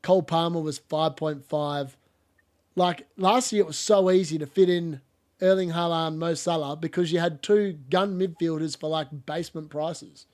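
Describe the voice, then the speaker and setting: A chatty man hosts a laid-back football talk show with a cough he hasn't shaken yet. He rambles and laughs through his stories, and his voice sits a little higher than most men's. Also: steady tone, normal speed, a little mellow. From Australia. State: lingering cough. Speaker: podcast host. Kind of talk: casual football chat.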